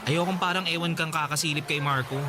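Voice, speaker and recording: High-voiced lovesick teenage schoolboy played by a man. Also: fairly even intonation, quick pace, over music, lightly acted. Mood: eager, tender. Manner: pleading, insistent, earnest. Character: lovesick, persistent teenage schoolboy, earnest suitor. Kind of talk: Tagalog radio drama acting.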